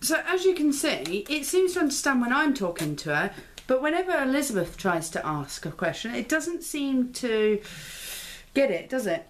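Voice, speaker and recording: A woman's voice: a little high, big pitch swings, moderate pace. A woman, giving a casual toy review at home. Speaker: parent reviewing a toy with a child. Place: living room sofa, indoors. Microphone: camera microphone at a short distance. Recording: home camera recording with faint noise.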